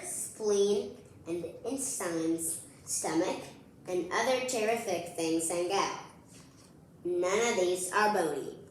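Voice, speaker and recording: Animated woman reading aloud in an echoey room. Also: fairly low pitch, a little hiss or hum. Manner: animated, playful, addressing child listeners directly. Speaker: children's read-aloud host. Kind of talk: expressive picture book read-aloud.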